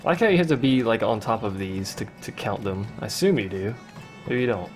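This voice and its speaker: A man's voice: lowish voice, big pitch swings, fairly quick, soft background music. A young man casually commenting while playing a game on a livestream, answering chat with wry asides. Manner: chatty, reads chat questions aloud, wry asides. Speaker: let's play streamer.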